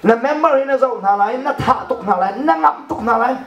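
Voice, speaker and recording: Middle-aged guy preaching, pretty high voice, lively and laughing a lot, handheld mic, echoey hall from far off. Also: brisk, dull audio, slight background noise. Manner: animated, gesturing with one hand, often laughing. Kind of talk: sermon. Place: church hall with a projection screen.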